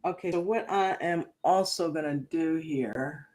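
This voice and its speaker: A woman casually reviewing a reality show on a livestream, slangy and animated, acting out quotes in a mocking tone. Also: sits low, measured pace. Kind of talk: casual reaction livestream chat.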